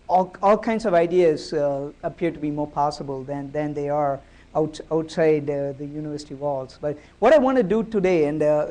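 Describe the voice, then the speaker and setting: A man giving a public talk at a university, amplified through the room's sound system, speaking haltingly with many fillers and restarts. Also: a little high, warm tone. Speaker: guest speaker at a university lecture. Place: lecture room at a university. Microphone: amplified through a room speaker system.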